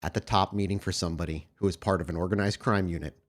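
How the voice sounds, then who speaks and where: A man's voice: low-pitched, fairly even intonation, fast. A man acting as storyteller of a tabletop roleplaying game, casually narrating scenes and refereeing the players.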